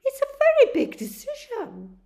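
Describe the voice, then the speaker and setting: A woman voicing a brisk, chirpy young nurse who fusses over a patient in a storybook reading. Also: very high-pitched, huge pitch swings, darkish tone, pitched above the performer's own voice, exaggerated voice. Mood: fussing.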